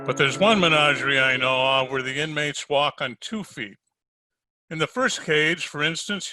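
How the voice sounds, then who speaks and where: An actor playing a wry, hard-boiled heavyset private detective, narrating in 1940s radio style. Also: male voice, fairly high pitch, pushed and bright, no room sound. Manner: wry, wisecracking.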